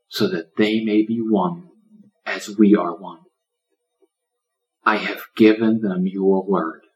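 A man voicing a gentle old lion in a storybook, solemn and loving like a shepherd, with a preaching delivery. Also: on the low side, muffled recording.

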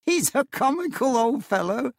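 A man's voice: extremely high pitch, big pitch swings, moderate pace, vocal fry, no room sound, studio quality, pitched above the performer's own voice, full character voice. A man voicing a merry, hearty young nephew who laughs easily, in a storybook reading.

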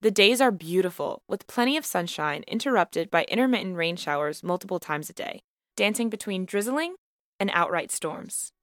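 Woman, podcast host, scripted narration, dry room, measured delivery. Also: lowish voice, expressive, no echo. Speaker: podcast host and student reporter.

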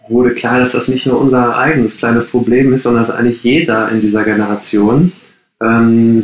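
A man in his late thirties from the Ruhr area, answering interview questions casually and with many hesitations. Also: on the low side. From Ruhr area, Germany. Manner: hesitant, many fillers and restarts. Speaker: coworking space co-founder, podcast guest. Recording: roomy echo.

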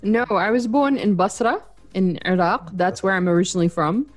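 A woman with an Australian accent, hosting a radio interview and speaking in a measured, reflective way. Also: medium pitch, lively intonation, faint music behind. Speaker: radio host.

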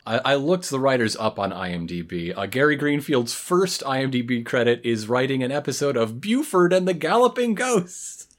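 Lively, animated podcast co-host with playful riffing. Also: medium pitch, moderate pace. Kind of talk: casual comedic chat about a cartoon.

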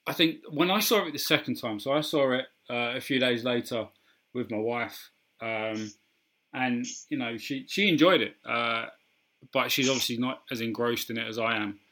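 A man's voice: mid-range voice, moderate pace, sharp tone. A man co-hosting a podcast, chatting casually about films, with many ums and restarts. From England. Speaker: podcast co-host. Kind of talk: casual film discussion.

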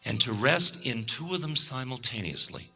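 A man giving a recorded spiritual lecture in a calm, reflective, measured way. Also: mid-range voice, creaky, breathy edge. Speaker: spiritual teacher.

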